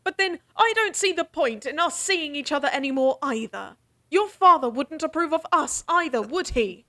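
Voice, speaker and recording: An actress playing a defiant young noblewoman who challenges a prince with sharp retorts in a fantasy audio drama. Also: high voice, expressive, moderate pace. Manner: sharp, challenging, flustered when caught.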